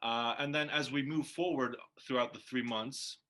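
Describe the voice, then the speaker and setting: A man co-hosting a language-learning show as the teacher, explaining things in a measured, halting, semi-formal way. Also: medium pitch, fairly even intonation, pushed and bright. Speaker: co-host and Turkish teacher.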